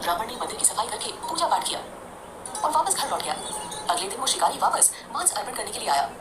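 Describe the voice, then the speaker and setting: A woman tells a scripted devotional tale in a high voice that rises and falls freely. She moves along briskly, with music playing underneath. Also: sing-song. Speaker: narrator. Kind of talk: scripted devotional story narration.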